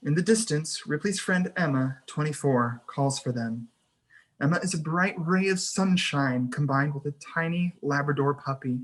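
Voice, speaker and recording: A narrator at a screenplay table read, with a voice of medium pitch. The delivery is scripted narration of scene headings and action. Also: moderate pace. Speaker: narrator reading stage directions.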